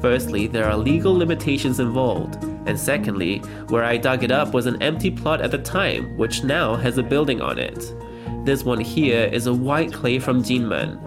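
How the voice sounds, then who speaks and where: A man with a middle-pitched voice gives a calm, explanatory voice-over that translates an interview. Loud music plays underneath him the whole time. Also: moderate pace.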